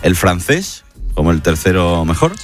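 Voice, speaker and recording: A man hosting a lively radio chat show. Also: deep voice, moderate pace, soft background music.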